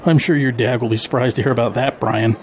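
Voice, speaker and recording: A man acts as a wry middle-aged father in an audio drama. He speaks at an ordinary male pitch, with faint noise behind him. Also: steady tone, fast talker, natural voice.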